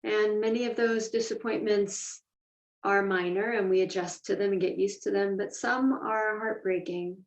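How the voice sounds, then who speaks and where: A woman teaching a guided meditation, speaking in a measured, deliberate way with pauses between phrases. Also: mid-range voice, steady tone. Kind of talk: guided meditation, slow instruction. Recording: echoey room, distant mic, dull sound.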